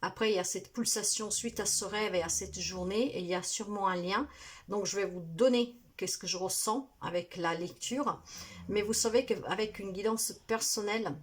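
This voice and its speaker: A woman spiritual healer speaking to her viewers in a soft, earnest, halting way, full of fillers, and sounding tired. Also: medium pitch, slightly rough. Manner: hesitant, halting, many fillers. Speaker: spiritual healer and card reader. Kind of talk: casual monologue to viewers.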